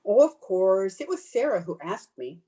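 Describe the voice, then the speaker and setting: A woman's voice: mid-range voice, very animated, moderate pace, mellow, husky. A woman playing a blunt, disapproving cousin in old-time radio drama, prim and cutting. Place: at home, recorded over video call.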